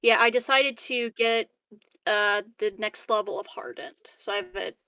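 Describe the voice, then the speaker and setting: A woman's voice: medium pitch, normal speed, bright, forceful tone, no room sound. A woman chatting casually as a player in a tabletop roleplaying game. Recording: distant mic with clear noise.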